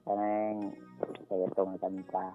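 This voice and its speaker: A man's voice: low voice, monotone, slow. A man calling a radio show, chatting casually and searching for his words. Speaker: radio show caller requesting a song. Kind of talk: casual phone chat. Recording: dull lo-fi phone call on radio. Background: faint music.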